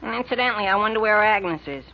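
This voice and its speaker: A hesitant, gossipy teenage pal played by a man in a vintage radio comedy. His mild, fairly high boyish voice comes through a muffled, hissy old recording. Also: expressive, quick pace, creaky. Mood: mild, doubtful. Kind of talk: 1940s radio comedy acting.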